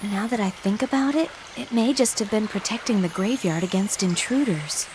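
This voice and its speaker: An actress playing a confident, friendly young rebel guide in a video game, brisk and reassuring. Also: female voice, medium pitch, lightly acted. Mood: thoughtful, reassuring. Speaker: voice actor. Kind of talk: English video game dub acting. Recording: very loud rushing background noise.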